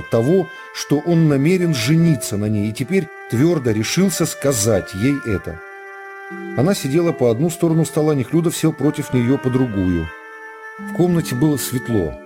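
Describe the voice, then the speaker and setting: A man narrating a literary work for radio, in a measured and expressive storytelling manner. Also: mid-range voice.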